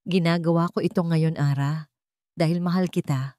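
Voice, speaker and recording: A woman voicing an earnest, tender young man in love, speaking gently in a storybook romance. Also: lowish voice, fairly even intonation, moderate pace, dry recording, studio quality, lightly acted.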